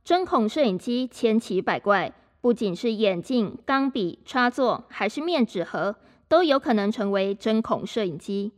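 An actress playing an informative presenter in a radio drama, explaining in a scripted, presentational way. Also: female voice, on the high side, animated, unhurried. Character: informative female presenter of hidden gadgets. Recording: long hall-like reverb.

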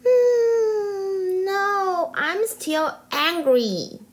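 A woman's voice: sits high, lively intonation, measured pace. A woman voicing an angry, childlike little boy monkey, polite and whiny, in a children's story. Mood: whiny, still angry.

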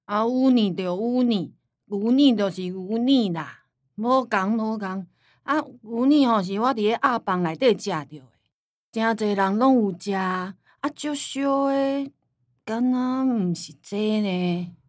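A woman's voice: fairly high pitch, unhurried, a little mellow, no echo, studio quality, lightly acted. A woman playing a forgetful, plain-spoken old grandmother with a Taiwanese accent in a radio drama. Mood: insistent. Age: older.

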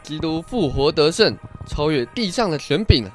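A man acting an eager, joyful village disciple in a radio play. His fairly high voice moves up and down with theatrical liveliness, over soft background music. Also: sing-song, normal speed. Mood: eager, insistent. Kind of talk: radio Bible drama acting.

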